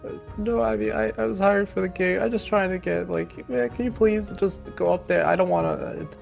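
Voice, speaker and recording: A man's voice: sits high, fairly even intonation, brisk, vocal fry, faint music behind, pitched above the performer's own voice. A man voicing a scrawny, anxious guard who stammers, pleads and apologizes in a tabletop game. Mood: anxious, miserable.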